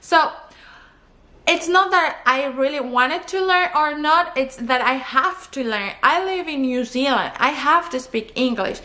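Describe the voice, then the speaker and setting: A woman's voice: sits high, animated, a little slow, sharp tone. A young woman from Colombia, a native Spanish speaker with a Colombian accent, giving earnest travel advice to camera and counting off her points. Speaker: travel vlogger. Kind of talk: talking to camera.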